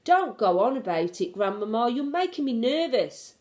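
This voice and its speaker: A plucky little boy-mouse from a children's storybook, voiced by a woman reader. The delivery is eager, earnest and determined, with animated rises and falls. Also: on the high side, moderate pace, voice pushed up. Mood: nervous, excited.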